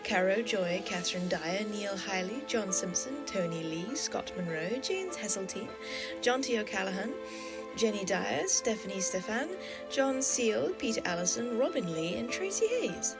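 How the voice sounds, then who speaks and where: Animated woman over loud music. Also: mid-range voice, moderate pace. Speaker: presenter reading credits. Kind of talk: scripted podcast sign-off.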